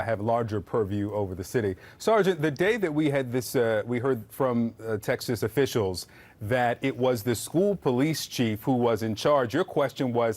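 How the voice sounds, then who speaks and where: Guy anchoring the news, steady read, asks the guest questions. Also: mid-range voice.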